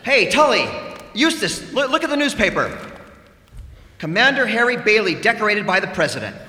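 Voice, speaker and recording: An actor playing an excitable, proud small-town man in a staged radio drama, recorded in a hall. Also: sits high, moderate pace, full character voice. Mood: excited. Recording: distant echoey hall, slight noise.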